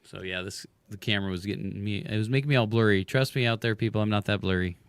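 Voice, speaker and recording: A man hosting a casual radio interview, his voice a bit hoarse, talking in a rambling, relaxed way with lots of fillers. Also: lowish voice, quick pace, no room sound. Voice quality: hoarse from smoke. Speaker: radio show host. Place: radio studio.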